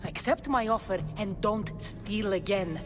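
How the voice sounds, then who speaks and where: A woman's voice: medium pitch, unhurried, over music, lightly acted. An actress playing a tough, blunt female mercenary in a video game, commanding and sardonic. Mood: stern, commanding. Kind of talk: video game dramatic acting.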